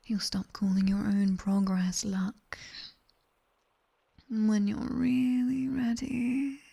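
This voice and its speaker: A British woman playing a strict, teasing, seductive demoness tutor in an intimate ASMR roleplay, with breathy whispers and a condescending yet coaxing delivery, close on a binaural mic. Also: medium pitch, flat delivery, takes it slowly, mellow, exaggerated voice. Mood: seductive, commanding. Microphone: close binaural microphone.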